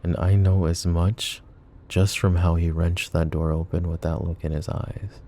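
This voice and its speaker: A man voicing a possessive, menacing mafia boss in a roleplay audio drama, speaking calmly and softly with occasional whispers, close to the microphone. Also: a little slow, a little hiss or hum. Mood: tender. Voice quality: low, soft, close-mic murmur with whispers. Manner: calm, controlled, coolly threatening and doting. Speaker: voice actor. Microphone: close ASMR microphone.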